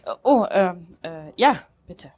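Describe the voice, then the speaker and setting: A woman's voice: lowish voice, animated, slow, a little mellow, breathy edge, exaggerated voice. A woman playing a Russian concert musician in a stage radio drama, startled and nostalgic about an old friend. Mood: flustered, astonished. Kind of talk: live stage audio drama reading. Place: tented festival stage.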